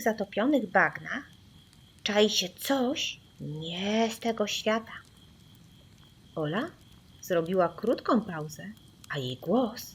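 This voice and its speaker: Slow, theatrical woman telling a suspenseful story. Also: mid-range voice, husky. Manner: suspenseful, theatrical, deliberate pauses. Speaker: audiobook narrator. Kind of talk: dramatic scripted children's story narration.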